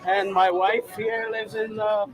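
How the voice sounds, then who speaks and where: A middle-aged man from Samoa, raised in Hawaii, talks through his cooking in a high, easygoing voice. He chats casually and laughs often. Music plays clearly in the background. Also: unhurried. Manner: relaxed, chatty, laughs easily. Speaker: chef. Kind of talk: casual live cooking demo. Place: outdoor boat show.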